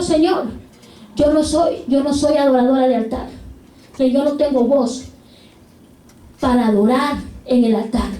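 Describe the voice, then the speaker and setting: A woman's voice: high-pitched, warm tone, echoey room, recorded from a distance. A woman preaching a sermon with fervent repetition of praise phrases. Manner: fervent, repeats hallelujah and glory to God.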